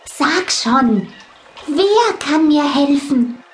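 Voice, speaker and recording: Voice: high voice, animated, drawn-out words, a little hiss or hum, pitched above the performer's own voice, exaggerated voice. A narrator voicing an eager little female ladybug child in a children's audiobook. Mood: excited.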